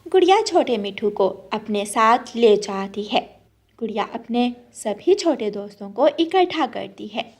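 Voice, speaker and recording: A woman's voice: fairly high pitch, animated, unhurried, darkish tone. A woman reading scripted, storybook-style narration for a cartoon.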